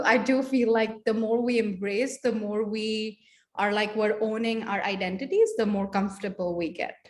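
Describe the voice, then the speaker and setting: A woman from India, a social work researcher answering on a panel in a reflective, chatty way, full of "like" and "you know". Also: mid-range voice.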